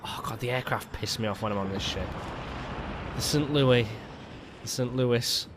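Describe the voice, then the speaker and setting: A man's voice: medium pitch, moderate pace, noisy background. A man hosting casual gameplay commentary, rambling with stumbling restarts and a lingering cough. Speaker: gaming video host.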